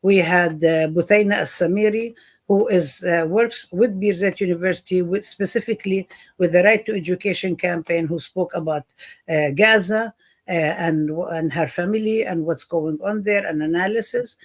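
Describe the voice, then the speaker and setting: A woman professor co-moderating an online classroom roundtable, speaking haltingly with many fillers and restarts. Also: lowish voice.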